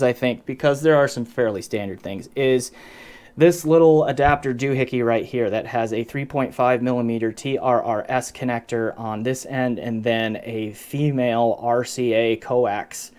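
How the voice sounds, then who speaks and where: A man's voice: mid-range voice, darkish tone. Casual man reviewing gear off the cuff. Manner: conversational, thinking aloud with self-corrections. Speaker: audio gear reviewer, channel host. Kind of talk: casual unscripted product review and demonstration.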